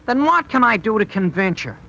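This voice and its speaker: A man's voice: sits high, very animated, brisk, vocal fry, sharp tone, full character voice. An actor playing a pushy, scheming teenage boy who wheedles his pal in a 1930s radio comedy. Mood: persuasive. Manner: insistent, wheedling. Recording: dull old 1930s radio broadcast.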